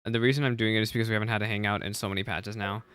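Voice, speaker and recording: A man casually streaming a game, chatty and excitable, repeating himself often as he talks. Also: lowish voice, racing, slight background noise. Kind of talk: casual livestream commentary.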